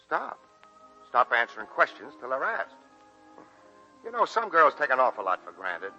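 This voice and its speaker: An actor playing a plainspoken, teasing, devoted small-town grocer in a 1941 radio drama, with a folksy manner. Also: male voice, mid-range voice, huge pitch swings, moderate pace, bright, forceful tone, lo-fi sound, faint music behind, full character voice. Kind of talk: 1940s radio drama acting. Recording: 1941 radio broadcast recording.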